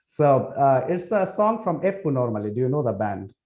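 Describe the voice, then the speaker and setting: A man's voice: mid-range voice, sing-song, a little fast, darkish tone. A man hosting a podcast interview in casual, chatty talk, often repeating himself. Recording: roomy, echoing sound.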